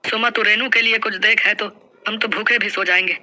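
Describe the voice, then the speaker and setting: A man's voice: high voice, steady tone, a little fast, bright, forceful tone. A man playing a poor, proud village farmer, pleading and emotional in a dramatized folk story. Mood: helpless, sad.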